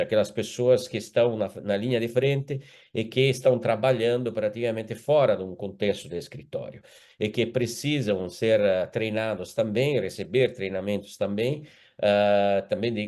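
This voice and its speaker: A man's voice: fairly low pitch, darkish tone. A man with an Italian accent, a company executive presenting his firm formally in a webinar, with some hesitant fillers, speaking over a video call. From Italy.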